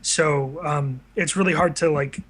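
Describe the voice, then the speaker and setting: A man's voice: fairly high pitch, fairly even intonation, a little slow, fairly bright tone. A young man chatting casually as a guest in an interview. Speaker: guest, video creator. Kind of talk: casual chat.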